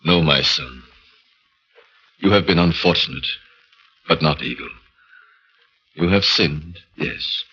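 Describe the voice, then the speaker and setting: Very deep, solemn priest. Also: male voice, bright, forceful tone, breathy edge, full character voice. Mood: solemn, gentle. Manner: solemn, measured. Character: solemn, compassionate prison priest. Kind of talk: 1940s radio drama acting. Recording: dull 1940s radio recording.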